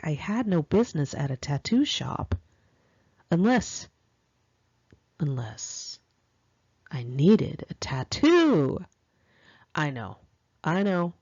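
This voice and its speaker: An American woman reading her own memoir aloud in an expressive, confiding, conversational storytelling style, with girlish slang. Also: fairly low pitch, slightly rough, no echo, muffled recording. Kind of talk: first-person audiobook narration, conversational and dramatic.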